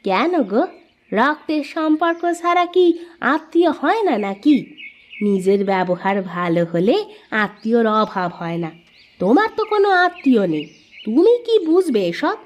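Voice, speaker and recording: A woman voicing a proud, quick-witted village aunt in a cartoon story, with an animated, sing-song manner. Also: high voice. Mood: indignant. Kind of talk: Bengali cartoon story dialogue.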